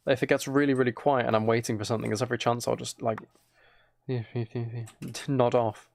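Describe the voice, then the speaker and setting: A very tired man chatting casually and quietly on a game livestream, rambling and slightly mumbled in a soft ASMR manner. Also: medium pitch, little pitch movement, normal speed, creaky, no echo. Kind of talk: casual gaming stream chat, ASMR.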